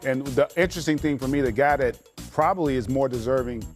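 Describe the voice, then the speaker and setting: Medium-pitched male basketball pundit over music. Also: fast. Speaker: basketball analyst. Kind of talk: conversational sports debate.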